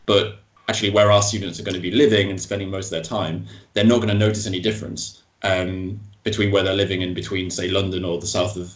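An easygoing man leading a casual panel and tossing questions to his guests. His voice sits fairly low. The room is echoey and the recording sounds dull. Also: level tone, quick pace, few pauses. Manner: easygoing, steers the talk with questions. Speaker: discussion host.

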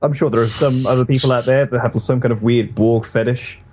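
A man's voice: medium pitch, fairly even intonation, brisk, darkish tone, slight background noise. A man in casual podcast conversation as a co-host, joking dryly. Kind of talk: casual gaming podcast chat.

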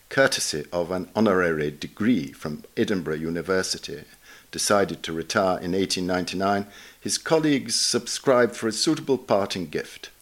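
A man narrating a written essay aloud in a measured, formal way with careful enunciation. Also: fairly low pitch. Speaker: narrator reading an article aloud. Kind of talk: scripted narration of a literary essay.

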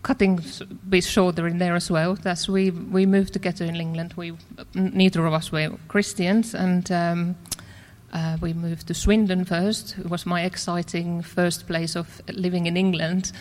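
A woman with a Finnish accent and a somewhat low voice tells her personal story. She speaks hesitantly, pausing with many fillers and restarts as she goes. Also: little pitch movement, a little mellow. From Finland. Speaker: church member sharing her story. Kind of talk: personal testimony.

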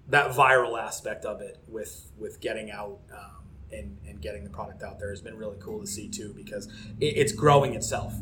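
A man's voice: fairly low pitch, fairly bright tone, a little hiss or hum. A man talking casually as a taproom co-owner in an interview, enthusiastic and rambling with lots of restarts.

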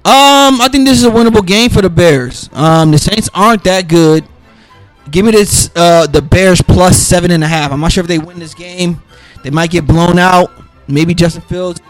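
Sick raspy guy doing his podcast solo, kinda high voice, lots of ums, faint music behind. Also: normal speed, low-quality recording. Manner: loose, rambling, many fillers and restarts. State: under the weather, raspy voice. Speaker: podcast host making football picks.

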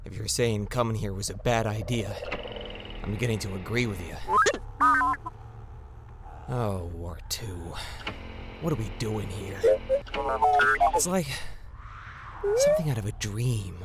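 An actor playing an earnest, easily rattled young rebel pilot and Jedi apprentice in an audio drama. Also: male voice, on the low side, animated, a little slow, pauses often, over loud music. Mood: uneasy, weary. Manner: talks to his droid, exclamatory under stress.

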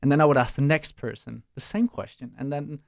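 A young man, answering interview questions casually and pausing to search for words. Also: medium pitch, gravelly, no echo, studio quality. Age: young adult. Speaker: startup co-founder and student.

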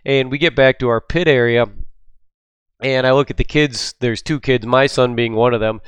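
A man's voice: medium pitch, steady tone, moderate pace, clean tone, dry recording. A middle-aged man hosting his own podcast, talking casually and upbeat with lots of ums, his voice a little stuffed up. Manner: chatty and upbeat, with frequent ums. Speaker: podcast host. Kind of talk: casual solo podcast talk.

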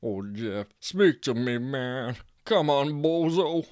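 A man's voice: medium pitch, expressive, slow pace, a little mellow, no echo, clean studio sound. A man voicing a brash, boastful adult man who swears and talks in a folksy drawl. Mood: fretful.

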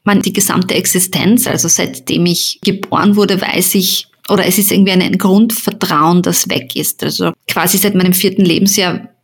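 A woman's voice: lowish voice, fairly even intonation, moderate pace, hardly any pauses, slightly rough. A woman hosting an interview podcast, speaking in a thoughtful, searching way with frequent fillers. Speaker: podcast host and journalist. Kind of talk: personal reflective conversation.

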